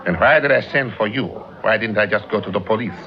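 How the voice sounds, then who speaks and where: A man playing a wary, blustering businessman and father, formal and probing, with a husky voice in vintage radio drama style. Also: on the low side, quick pace, lightly acted. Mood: indignant, alarmed. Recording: dull vintage radio recording.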